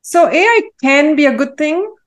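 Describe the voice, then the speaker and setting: A woman from Malaysia, a guest in an interview, answering in a thoughtful, measured way with frequent pauses and fillers. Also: high voice, lively intonation. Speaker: guest, AI consultant.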